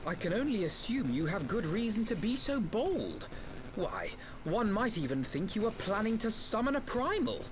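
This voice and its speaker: Game role: shrewd young gentleman. Slightly high, animated voice, formal and coolly challenging. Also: background noise. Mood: cool, pointed. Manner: formal, measured, pointed. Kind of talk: video game cutscene voice acting.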